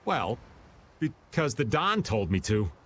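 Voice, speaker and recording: A man's voice: mid-range voice, big pitch swings, takes it slowly, fairly bright tone, slight background noise. A man voicing an easygoing, grumbling older adventurer who teases, in a video game dub. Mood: matter-of-fact.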